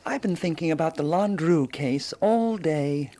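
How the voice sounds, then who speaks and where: An actress playing a reflective, self-assured woman sleuth in a radio drama, speaking in a deliberate, pondering way. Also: sits low, lively intonation, warm tone.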